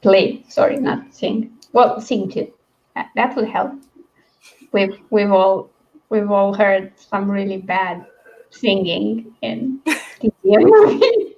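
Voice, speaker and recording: A woman's voice: mid-range voice, animated, slow pace, creaky, a little mellow, lo-fi sound. A woman hosting a livestream book discussion in a casual, rambling, chatty way. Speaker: host of a book read-along livestream.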